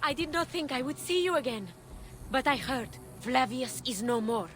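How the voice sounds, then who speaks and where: Gentle, higher-voiced ancient healer woman. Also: lively intonation, normal speed. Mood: concerned, earnest. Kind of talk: video game cutscene acting.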